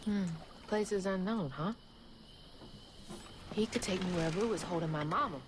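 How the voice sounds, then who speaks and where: A woman's voice: lowish voice, moderate pace, noisy background, close to an everyday voice. A woman playing a determined, tender young game heroine with a Southern American accent, earnest and plain-spoken. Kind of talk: naturalistic game drama dialogue. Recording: studio voice over music.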